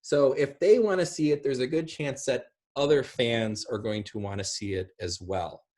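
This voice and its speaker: A middle-aged man with a slightly rough, husky voice answers questions on a video call from home. He explains things informally, rambling from one example to the next. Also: medium pitch, moderate pace, darkish tone. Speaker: guest speaker. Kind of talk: casual explanatory Q&A talk. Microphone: video call microphone.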